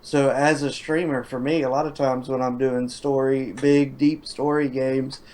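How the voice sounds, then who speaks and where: Male streamer in relaxed chat. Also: mid-range voice, measured pace. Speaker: streamer, guest. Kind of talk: casual chat.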